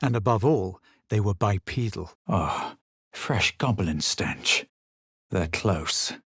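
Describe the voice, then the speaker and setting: A low-voiced, self-assured young fantasy hero for a video game. He speaks with measured superiority and a husky edge, as if every phrase were written out. The sound is close and dry. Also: animated, fairly bright tone, no echo, heavily acted. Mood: solemn narrating, then dry, wry. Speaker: video game player-character voice actor. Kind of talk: scripted game voice lines, narration and quips.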